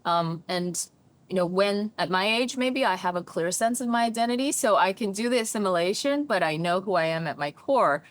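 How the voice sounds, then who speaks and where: A woman's voice: medium pitch, normal speed. A middle-aged woman from China with a slightly American-leaning English accent, a therapist and coach speaking thoughtfully and animatedly as an interview guest. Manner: thoughtful, animated, often checks in with "right?". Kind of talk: reflective interview conversation.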